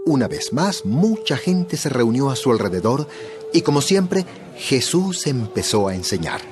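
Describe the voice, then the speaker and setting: A man narrating a dramatized Bible story in a scripted, measured storytelling manner. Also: medium pitch, very animated, music in the background.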